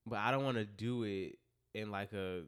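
A man's voice: fairly low pitch, normal speed, clean tone. A young man hosting a podcast in casual chat, a little stuffy and nasal, joking and slangy. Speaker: podcast host.

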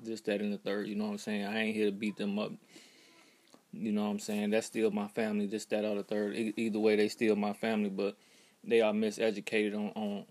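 A man's voice: lowish voice, darkish tone. An American man delivering an emphatic, preachy monologue straight to his followers, constantly checking that they are following. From United States. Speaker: social media commentator. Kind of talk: direct-to-camera monologue.

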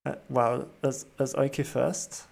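A man's voice: mid-range voice, little pitch movement, slow, creaky, a little mellow. A man hosting a role-playing podcast as game master, narrating the scene and slipping in dry, wry quips.

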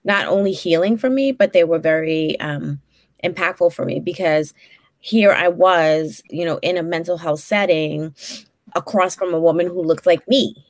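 A woman's voice: fairly low pitch, normal speed, no echo, clean studio sound. A woman in her forties with a Brooklyn accent, a social worker talking frankly as an interview guest, with many fillers and restarts. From Brooklyn, New York. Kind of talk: casual interview conversation.

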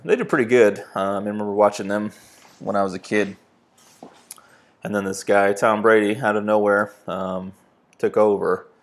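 Gravelly low-voiced man from New England. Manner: easygoing storytelling with frequent fillers. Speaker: podcast guest. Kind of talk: casual chat.

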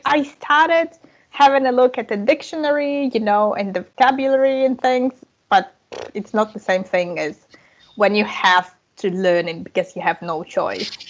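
A woman, a language coach talking as a podcast guest in a relaxed, chatty way with frequent laughs and fillers. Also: medium pitch, low-quality recording. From Ukraine. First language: Russian and Ukrainian. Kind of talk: casual interview chat.

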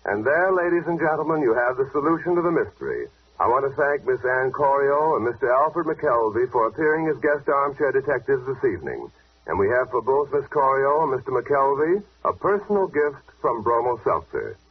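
A brisk young detective in an old radio mystery. He is confident and sharp, with very animated intonation. The sound is a muffled, narrow old broadcast. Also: medium pitch, slightly rough. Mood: genial announcing. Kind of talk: 1940s radio mystery acting.

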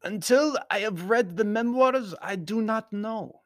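High-pitched, pompous Baron. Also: male voice, a little slow, no echo. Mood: grave, secretive. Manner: stiff, formal, inverted word order. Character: big bearded foreign Baron, stiff, formal, pompous loyalist envoy.

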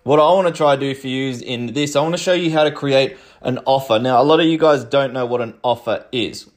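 A man with a slightly croaky voice, casually talking listeners through small business marketing as a podcast host and coach. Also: medium pitch, a little fast. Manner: chatty and informal, addresses listeners as "you guys", frequent "um" and "like".

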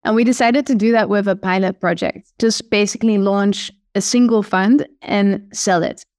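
Woman, Dutch accent, founder guest; upbeat, step-by-step explainer, dry close-mic sound, mid-pitched voice. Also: steady tone, moderate pace, darkish tone, no echo. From Netherlands. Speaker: guest, startup CEO. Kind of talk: podcast interview.

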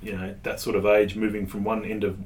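Low-voiced man hosting a podcast. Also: flat delivery, fairly quick, darkish tone, slight background noise. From Tasmania, Australia. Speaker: podcast host. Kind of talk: casual podcast interview.

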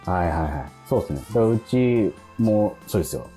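A man's voice: lowish voice, expressive, quick pace, dark tone, faint music behind. A man co-hosting a radio show, reading out mail and chatting casually plenty of small interjections. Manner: chatty, frequent backchannels. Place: remote recording from home.

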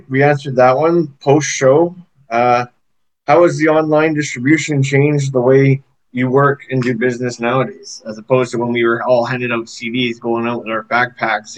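A man's voice: medium pitch, poor audio. Man, interviewer, easygoing, rambling questions, mid-range voice.

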